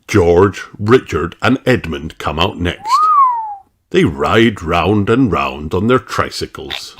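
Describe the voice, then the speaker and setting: A man reading a children's storybook aloud with expressive, deliberate narration. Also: deep voice, noisy background. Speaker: storybook reader.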